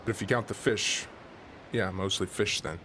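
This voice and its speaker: Low-voiced rambling deadpan fisherman. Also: normal speed, a little hiss or hum. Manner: rambling, deadpan, self-correcting. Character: rambling, folksy small-town Gulf fisherman, male. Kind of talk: radio drama interview.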